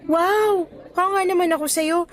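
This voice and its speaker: High-voiced friendly young Filipina teacher, acted by a woman. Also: measured pace. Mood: friendly, teasing. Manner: chatty, lightly teasing. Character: friendly, eager young female novice teacher, nervous but hopeful. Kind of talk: Tagalog radio drama acting.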